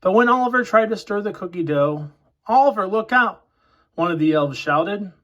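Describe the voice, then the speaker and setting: Higher-voiced man reading a children's storybook. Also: lively intonation, a little fast, fairly bright tone, muffled recording. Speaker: children's picture book reader. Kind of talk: read-aloud storybook narration for children.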